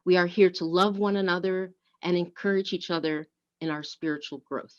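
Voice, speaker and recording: A woman leading a church service, speaking and reading in a measured, deliberate way. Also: lowish voice, fairly even intonation. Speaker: worship service leader. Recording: dull, lo-fi online audio.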